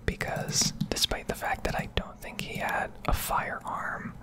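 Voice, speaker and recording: Whispering woman, rambling ASMR. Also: measured pace. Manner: rambling, casually sweary. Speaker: game streamer. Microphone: close microphone.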